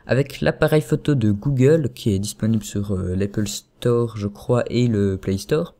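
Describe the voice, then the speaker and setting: A young man giving a casual screen-recorded software tutorial at his computer, chatty and hesitant, full of fillers and self-corrections. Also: fairly low pitch, normal speed.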